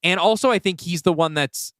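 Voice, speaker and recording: A man's voice: high voice, brisk, fairly bright tone, no room sound, clean studio sound. A man co-hosting a podcast, chatting casually and thinking aloud as he works through story ideas, with lots of "like" along the way. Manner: thinks aloud, often says "like". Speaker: podcast co-host and writer. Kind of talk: casual brainstorming chat.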